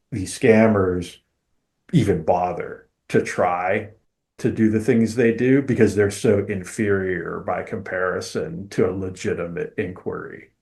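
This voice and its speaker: A man talking casually as a podcast host, giving his opinions in an emphatic, deliberate way. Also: lowish voice, darkish tone.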